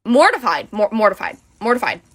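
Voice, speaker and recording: A woman's voice: medium pitch, very animated, brisk, a bit bright, husky. A young adult woman telling a casual personal story in a dramatic, emphatic way, acting out dialogue and repeating words for effect.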